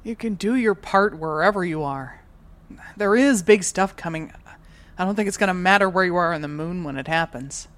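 A woman's voice: on the low side, moderate pace, natural voice. A woman playing an earnest, dogged moonbase rescuer in a naturalistic audio drama, with a dry manner. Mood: earnest, determined.

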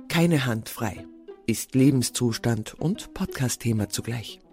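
A man reading a scripted podcast introduction in an even, measured way. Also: medium pitch. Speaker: podcast announcer. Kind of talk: scripted narration.

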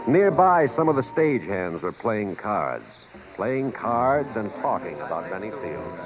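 An actor playing a brisk, loyal showbiz pal who narrates a 1950s radio drama, with music behind. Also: male voice, on the low side, very animated, rough recording. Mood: recounting.

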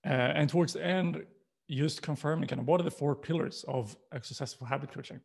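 A man's voice: fairly high pitch, fairly quick, creaky, slightly rough. A man with a Swedish accent presenting a talk in an explanatory manner, posing questions as he goes. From Sweden. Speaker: presenter, coaching co-founder.

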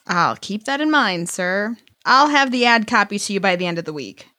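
A woman's voice: medium pitch, lively intonation, moderate pace, pushed and bright, no room sound, studio quality. An actress playing a shrewd, cynical woman con artist who is wry and matter-of-fact, in an audio play. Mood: wry, businesslike.

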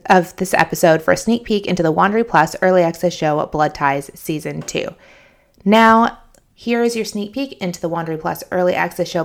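A woman's voice: on the low side, creaky. A woman hosting a podcast, reading a promo in a chatty, informal way. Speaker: podcast host. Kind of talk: casual host-read promo.

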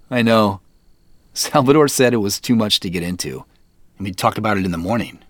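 A man's voice: fairly low pitch, animated, normal speed, no room sound. A man acting as a guy on a family quest in an audio drama, in natural, conversational, slightly hesitant dialogue. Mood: tired, amused. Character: Joseph, adult man on a mysterious family quest.

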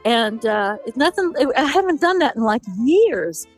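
A woman's voice: sits high, sing-song, normal speed, soft background music. An older woman chatting casually on a cell phone as a call-in regular, grumbling comically and rambling along. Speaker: regular call-in guest, the host's mother. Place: outdoors on a deck, on a cell phone.

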